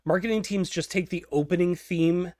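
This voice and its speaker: Man, slightly high voice, casual interviewer, chatty informal phrasing, dry close sound. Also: fairly even intonation, normal speed, darkish tone, no echo. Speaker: host, interviewer.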